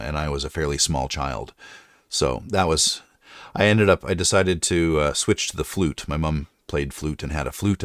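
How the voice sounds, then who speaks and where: A middle-aged man talks with an extremely low, deep voice, rambling and often correcting himself mid-sentence. It is a relaxed chat with his live viewers. The sound is dry and close. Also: no room sound. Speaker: livestream host. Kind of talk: casual livestream chat.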